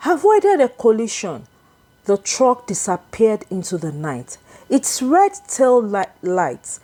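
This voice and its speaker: Slow, high-voiced woman storyteller. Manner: slow, deliberate, expressive storytelling. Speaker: storyteller, narrator. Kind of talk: dramatic scripted folktale narration.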